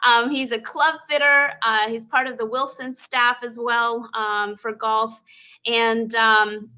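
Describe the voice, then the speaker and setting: A woman's voice: a little high, little pitch movement, slow pace, bright, forceful tone. A woman hosting a live-streamed interview, upbeat and full of "um"s. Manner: upbeat, filler-heavy. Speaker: host. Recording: lo-fi stream audio.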